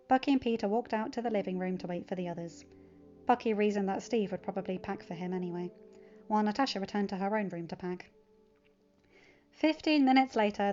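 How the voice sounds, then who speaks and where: A woman's voice: medium pitch, darkish tone, dull audio. A woman reading scripted story narration as the narrator of a fan fiction audiobook.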